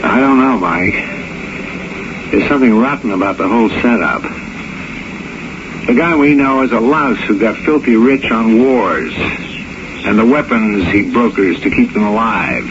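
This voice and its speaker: An actor playing a wry, worldly embassy intelligence man in a 1970s radio drama, talking in a dry, measured way. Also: lowish voice, close to an everyday voice. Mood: grave, confiding. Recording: muffled, noisy 1970s radio recording.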